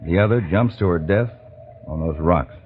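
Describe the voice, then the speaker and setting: An actor playing a shrewd, authoritative investigator in an old radio drama, measured and confident. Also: male voice, low-pitched, slight background noise, lightly acted. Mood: grave, thoughtful. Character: shrewd, authoritative male government investigator chief. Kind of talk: 1940s radio drama acting. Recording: thin, phone-like old radio recording.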